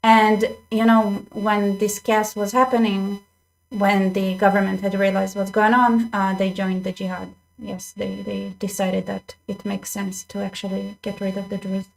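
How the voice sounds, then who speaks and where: A woman's voice: medium pitch, level tone, measured pace, creaky, slight background noise, poor audio. A young woman hosting her own channel, talking casually to viewers with lots of restarts and asides. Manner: chatty, restarts often, addresses viewers directly. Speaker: channel host. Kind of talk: casual commentary to camera. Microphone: desk microphone.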